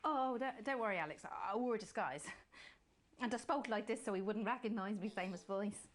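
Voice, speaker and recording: A woman's voice: mid-range voice, fairly quick, slight background noise, natural voice. A woman putting on a posh, creepy TV hostess. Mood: pleading. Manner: polished, then pleading and unsettling. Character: posh smooth-talking female TV presenter, creepy and obsessive. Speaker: presenter. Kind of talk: late-night TV sign-off.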